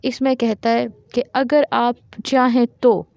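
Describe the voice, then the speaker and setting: A woman gives a law lecture, with a soft, mellow tone and a fairly high voice. She moves at an unhurried pace. She reads out each legal clause carefully and then explains what it means. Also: flat delivery, vocal fry, dry recording, muffled recording. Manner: reads English legal text slowly word by word, then explains in Urdu. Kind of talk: teaching statute law, reading aloud and explaining.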